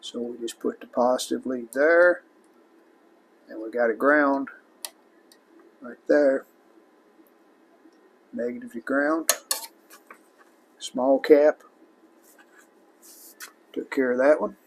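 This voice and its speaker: A man giving a casual repair demonstration, plainspoken and unhurried, speaking in short phrases as he works. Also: mid-range voice, lively intonation, gravelly, slight background noise. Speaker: host of a vintage electronics repair channel.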